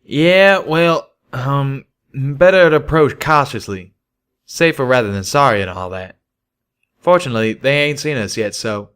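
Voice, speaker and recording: A man's voice: medium pitch, measured pace, a bit bright, clean tone, pitched above the performer's own voice, lightly acted. A man voicing a folksy, colloquial male pegasus in an audiobook story. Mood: sheepish, hesitant. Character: laid-back male pegasus cowboy, folksy and loyal.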